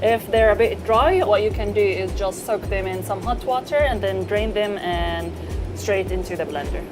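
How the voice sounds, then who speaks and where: A woman chef from eastern Saudi Arabia, talking through a cooking demonstration in a kitchen with measured, gently encouraging explanations. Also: medium pitch. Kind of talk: cooking demonstration with calm step-by-step instructions. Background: background music.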